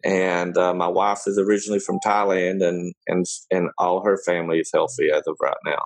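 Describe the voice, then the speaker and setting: A man's voice: sits low, flat delivery, fairly quick, poor audio. A middle-aged man from Germany, a small business owner answering interview questions hesitantly. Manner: hesitant, many fillers and restarts.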